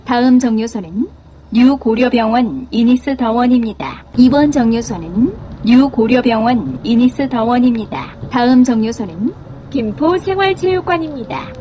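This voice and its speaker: A woman's voice: fairly high pitch, mellow, a little hiss or hum. A woman reading scripted, pre-recorded bus stop announcements.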